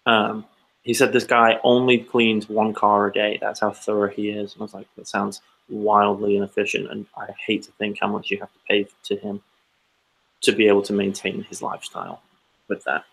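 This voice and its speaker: Male co-host with a gravelly, somewhat low voice, dry and teasing, chatting casually. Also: flat delivery, moderate pace, a bit bright, rough recording. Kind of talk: casual chat over a call.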